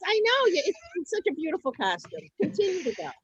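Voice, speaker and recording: A woman's voice: very high-pitched, huge pitch swings, quick pace, fairly bright tone, no room sound, dull audio. A woman informally hosting a live online event, flustered and often repeating her phrases. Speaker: host. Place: indoors, on a video call. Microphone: video call.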